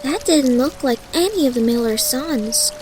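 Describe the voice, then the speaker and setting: A woman's voice: sits high, lively intonation, normal speed, over music, poor audio. A woman playing a dutiful but cheeky young farm girl with a rustic drawl in an audio drama. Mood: wistful, sighing. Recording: rain and water noise behind.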